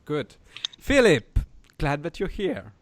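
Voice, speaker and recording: A French man speaking English with a French accent, hosting a podcast in a rambling, excitable way and swearing freely. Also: a little high, slow, no echo. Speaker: podcast host. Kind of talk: casual podcast chat.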